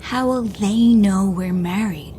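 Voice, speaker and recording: A woman playing a shy, anxious young honeymooning wife in an audio drama, fretting in a hushed, breathy, naturalistic way. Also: medium pitch, slow pace, a little hiss or hum, natural voice. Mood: embarrassed, nervous.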